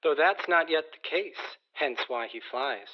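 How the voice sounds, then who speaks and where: An actor playing a sly, dry adviser to an underworld prince, speaking formal archaic lines in an audio drama. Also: male voice, fairly high pitch, lively intonation, unhurried. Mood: sly, persuasive. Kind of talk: audio drama acting in verse. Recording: dull, lo-fi radio drama sound.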